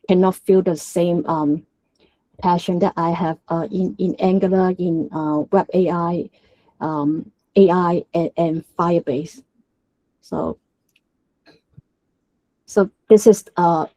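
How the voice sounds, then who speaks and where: A woman's voice: fairly low pitch, steady tone, takes it slowly, pauses often, warm tone, no echo, low-quality recording. A woman from Hong Kong with a Hong Kong accent and Cantonese as her first language, giving a technical presentation at a developer meetup. She talks hesitantly, with many filler sounds and restarts. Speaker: guest speaker at a developer meetup.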